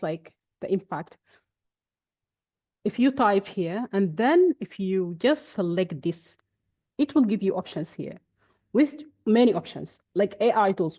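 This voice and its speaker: Warm-voiced woman giving a software demo. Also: medium pitch. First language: Arabic. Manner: chatty, explanatory, with frequent restarts. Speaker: webinar presenter. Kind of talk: screen-share software demonstration.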